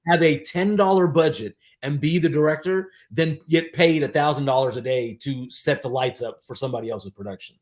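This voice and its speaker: A man in his forties talking casually as a podcast guest, emphatic, with halting false starts and some swearing. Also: fairly high pitch. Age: 40s. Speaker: podcast guest, DJ and aspiring filmmaker. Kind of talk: casual interview chat.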